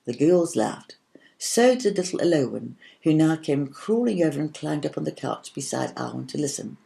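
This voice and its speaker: A woman's voice: low voice, sing-song, moderate pace, gravelly, warm tone. A woman narrating a scripted children's story as a storybook reader.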